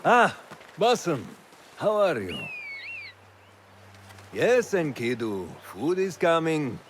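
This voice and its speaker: Curious genial companion, slow delivery, wide intonation, video game dialogue, ambient noise. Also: male voice, fairly high pitch, sing-song. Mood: genial, musing. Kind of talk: conversational video game cutscene acting.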